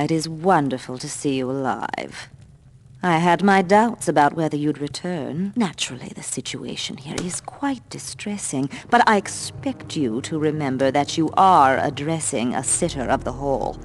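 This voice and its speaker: An actress playing a haughty, formal senior council sitter in a fantasy video game, speaking in a measured, imperious way. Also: female voice, deep voice, animated, soft background music. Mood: stern, haughty. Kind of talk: scripted video game cutscene acting.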